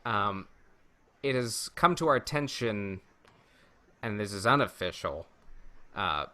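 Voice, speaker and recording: A man hosting a podcast in casual chat, talking in a halting, stop-and-start way. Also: lowish voice, expressive, bright, forceful tone. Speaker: podcast host. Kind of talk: casual chat about Star Wars rumors.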